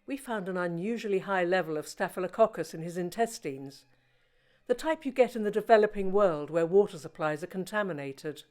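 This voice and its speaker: An actress playing an unsentimental British forensic pathologist with a dry, clinical manner in a radio play. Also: female voice, on the low side, animated, brisk, a little mellow, close to an everyday voice. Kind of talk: naturalistic radio play acting.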